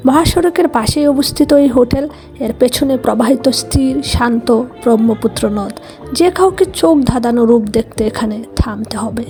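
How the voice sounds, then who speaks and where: A woman's voice: fairly high pitch, moderate pace, over music. A woman with a Bangladeshi accent narrating a casual family travel vlog in voice-over.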